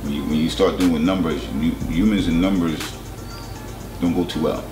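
A man's voice: deep voice, fairly even intonation, normal speed. A man in his thirties, born in Jamaica, hosting a casual chat in a laid-back, rambling way with lots of filler phrases. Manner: laid-back, rambling, many "you know"s. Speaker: host. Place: indoor studio set with couch. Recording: roomy studio with music behind.

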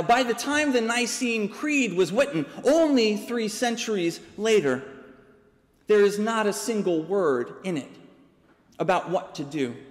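Slow, deliberate man preaching in a church. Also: high-pitched. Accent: American. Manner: measured, deliberate delivery with dramatic pauses. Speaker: pastor. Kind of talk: sermon. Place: large church sanctuary, preaching from a pulpit. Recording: long church reverb.

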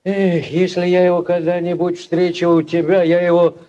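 A man's voice: high voice, monotone, moderate pace, mellow, highs cut off, lightly acted. An actor playing a pompous, boastful older village father and amorous rogue in 1940s radio drama style. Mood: boastful, threatening.

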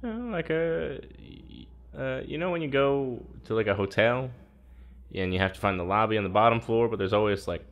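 A man's voice: lowish voice, normal speed, lo-fi sound, natural voice. A man playing an anxious, hot-headed research subject in a naturalistic audio drama, stammering and informal. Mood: uneasy, awkward. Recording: faint background ambience.

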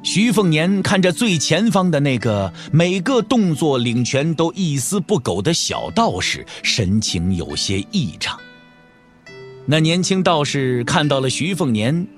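A man narrating an audio drama from a script in an expressive storytelling manner. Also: fairly high pitch, a little slow, soft background music.

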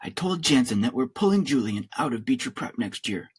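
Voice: medium pitch, lively intonation, fairly quick, slightly rough. A man voicing a stern, exasperated father in a story reading, with a firm, reproving manner.

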